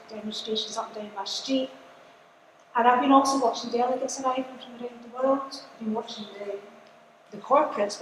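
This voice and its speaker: A woman from a trade union speaks to a rally, relaxed and chatty as she shares her own experiences. Her fairly high voice bounces around a large hall with long reverb and a faint hiss. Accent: non-Glaswegian. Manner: conversational, personal storytelling. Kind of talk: political speech. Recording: echoey hall, roomy reverb.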